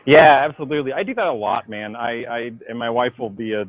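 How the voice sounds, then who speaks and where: A man being interviewed by phone as a writer, talking in an informal, halting but emphatic storytelling way. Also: medium pitch. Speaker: interviewee, comic book writer. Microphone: phone call. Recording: dull lo-fi phone call.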